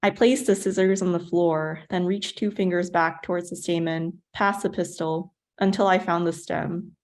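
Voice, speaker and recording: Low-pitched woman reading literary work. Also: steady tone, moderate pace, a little mellow. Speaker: writer reading own work.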